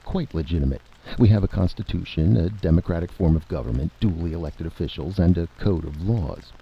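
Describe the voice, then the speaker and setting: A man's voice: sits low, animated, brisk, creaky, husky, heavily acted. A man voicing an earnest, stiff envoy of a tiny nation in a radio drama, formal and insistent. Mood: earnest, proud. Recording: dull, noisy vintage radio recording.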